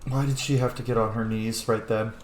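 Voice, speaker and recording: A man's voice: on the low side, steady tone, a little fast. Guy doing a casual lets roleplay, swears a bunch, roomy echo, bit of noise behind. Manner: sweary, informal. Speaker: gameplay host. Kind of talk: casual role-play gaming commentary.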